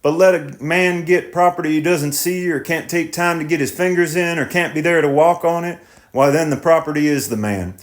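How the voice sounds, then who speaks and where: A man reading aloud, voicing a stubborn, pondering tenant farmer who speaks plainly and slowly. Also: fairly high pitch, pitched above the performer's own voice, lightly acted. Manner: slow, pondering, plain-spoken. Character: older male tenant farmer, brooding and stubborn.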